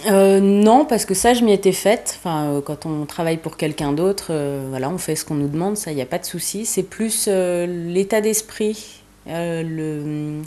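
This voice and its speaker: A woman's voice: on the low side, moderate pace, warm tone. A young woman from eastern France, a fashion designer being interviewed, who speaks casually with many hesitations and fillers.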